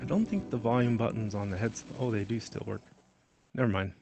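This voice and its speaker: A man's voice: on the low side, fairly quick, creaky, loud music behind the voice. A man hosting a casual tutorial, somewhat hesitant as he thinks aloud. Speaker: tutorial host.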